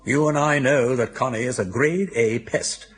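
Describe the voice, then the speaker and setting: An actor playing a witty, teasing older English aristocrat in a British radio comedy, chatty and urbane with a wry theatrical manner. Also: male voice, medium pitch, moderate pace, close to an everyday voice.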